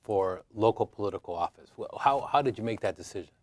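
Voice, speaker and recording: A man's voice: lowish voice, animated, fast talker, no echo. A man, a university professor, hosting an interview in a friendly, joking way with some hesitant fillers. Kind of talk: hosted interview.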